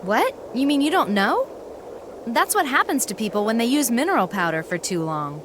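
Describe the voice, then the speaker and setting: Feisty high-voiced young goatherd. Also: female voice, big pitch swings, normal speed, background noise. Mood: friendly, frank. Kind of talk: animated video game cutscene acting.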